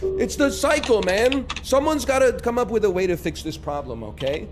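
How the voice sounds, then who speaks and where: A hoarse man in his thirties chatting casually and joking while streaming a game. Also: high voice, lively intonation, moderate pace, slight background noise. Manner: rambling, joking asides. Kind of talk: casual chat while gaming.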